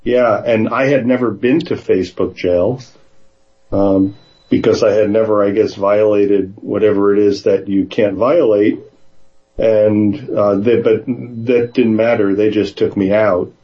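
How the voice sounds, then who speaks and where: A man in casual conversation, speaking in a hesitant, halting way, sometimes reading aloud. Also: lowish voice, steady tone, muffled recording. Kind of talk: casual conversation with some read-aloud passages.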